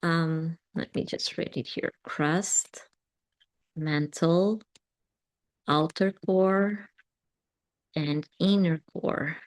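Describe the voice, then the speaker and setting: Slow, low-voiced woman teaching meditation. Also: steady tone, low-quality recording. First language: not English. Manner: gentle and affirming, laughs often, with pauses and ums. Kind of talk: live guided meditation session, answering chat comments.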